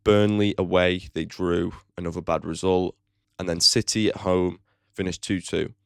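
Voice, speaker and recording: Low, gravelly-voiced man. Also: level tone, normal speed, no room sound, clean studio sound. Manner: opinionated and blunt, swears casually; chatty, with frequent "like" fillers. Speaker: football fan channel host. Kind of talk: football match preview vlog.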